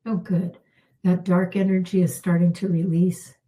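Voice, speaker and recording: A middle-aged woman, a healer, leading a calm guided spiritual healing with unhurried talk and soft pauses, her voice slightly worn. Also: on the low side. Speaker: intuitive healer hosting a live session.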